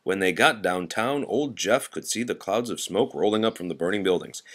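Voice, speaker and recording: A man reading a children's storybook aloud with expressive narration. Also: fairly low pitch, moderate pace. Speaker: storybook reader.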